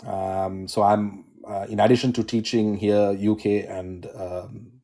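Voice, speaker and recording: A man from Sri Lanka with a low, mellow voice, speaking as a constitutional law academic in his forties. He answers in a measured, thoughtful way, with frequent "um"s and self-corrections, over a video call. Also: flat delivery. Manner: measured, academic, many "um"s and restarts. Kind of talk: conversational interview answers.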